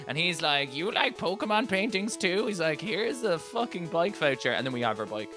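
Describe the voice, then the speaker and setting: A man's voice: on the high side, very animated, moderate pace, music in the background. A man co-hosting a podcast, chatting casually about a game in a playful, sweary way, full of exclamations. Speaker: podcast co-host.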